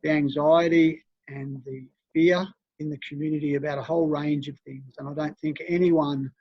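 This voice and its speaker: Brief: man as mayor, monotone and thoughtful, with a dry, lo-fi council sound. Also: on the high side, normal speed, slightly rough, dry recording. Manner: hesitant, many fillers, reflective. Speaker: mayor chairing the meeting. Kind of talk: chairing a council meeting. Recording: dry, dull lo-fi meeting audio.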